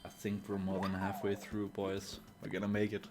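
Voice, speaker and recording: A man streaming a game live, talking in a dry, sarcastic way with lots of halting "uh"s. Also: on the low side, monotone, noisy background. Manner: dry, sarcastic, full of filler "uh"s. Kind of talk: casual live gameplay commentary.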